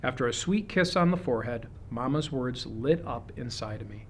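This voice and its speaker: A man reading a children's picture book aloud, with expressive, deliberate phrasing suited to young listeners. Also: medium pitch, slight background noise. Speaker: storybook reader.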